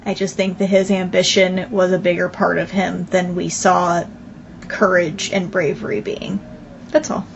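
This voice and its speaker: A woman's voice: lowish voice, level tone, unhurried, gravelly. A woman co-hosting a podcast in casual chat, teasing and stubbornly arguing her side. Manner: teasing, stubborn, laughs often. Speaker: podcast co-host. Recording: dull lo-fi audio with faint background noise.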